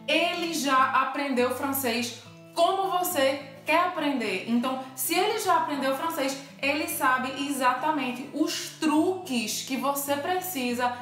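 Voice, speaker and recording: A woman's voice: high voice, measured pace, fairly bright tone. A Brazilian woman with a Brazilian Portuguese accent, explaining things to viewers as a teacher and co-host in a playful debate. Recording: roomy echo, faint music behind.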